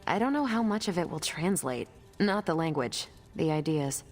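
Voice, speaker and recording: A woman's voice: deep voice, expressive, normal speed, soft background music. A woman voicing a friendly, earnest superhero in a video game, casual and encouraging. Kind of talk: video game cutscene acting. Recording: reverberant hall.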